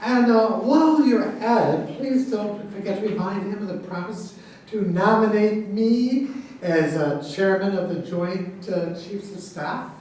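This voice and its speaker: A man's voice: sits high, unhurried, a little mellow, recorded from a distance, a little hiss or hum. A man playing a self-important, argumentative military officer and professor in a staged play reading. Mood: indignant. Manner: argumentative, grandiose. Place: echoey room at a staged reading. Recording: very echoey room recorded from afar.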